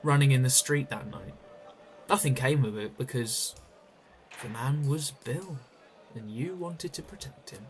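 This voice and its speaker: Framed male detective in prison played by an actor: biting sarcasm, accusatory, medium pitch, audio play with slight noise. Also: unhurried, lightly acted. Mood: pressing, accusing. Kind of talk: audio drama acting.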